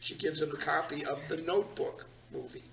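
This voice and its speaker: A woman's voice: low voice, flat delivery, fairly quick, creaky. A woman narrating a screenplay at a table read, plainly reading the stage directions with small stumbles. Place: large meeting room. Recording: echoey room, distant mic, faint noise.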